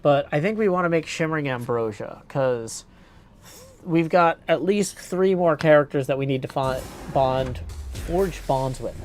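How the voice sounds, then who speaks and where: A man's voice: a little high, a little slow, slight background noise. A man doing casual gaming commentary as a live streamer, thinking aloud playfully and reading chat.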